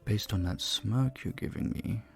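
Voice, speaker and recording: A charming male vampire bartender, acted by a man, with a fairly low voice that has a gravelly edge. He is suave and playfully flirtatious, talking closely to a single customer in an audio roleplay over faint music. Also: a little slow. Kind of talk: intimate scripted roleplay, speaking to the listener.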